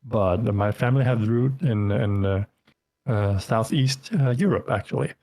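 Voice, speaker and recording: A man's voice: fairly low pitch, a little slow, darkish tone, no echo. A man from Denmark with a Danish accent, a native Danish speaker, chatting loosely as a guest in an interview and filling his talk with lots of filler words. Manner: rambling, heavy with fillers. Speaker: guest streamer. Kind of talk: casual interview chat.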